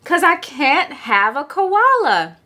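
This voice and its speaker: A whiny, demanding little boy from a picture book, as a woman reads him out. His voice is high and bright, and he draws out his words slowly. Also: very animated, heavily acted. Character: small angry boy who wants a koala.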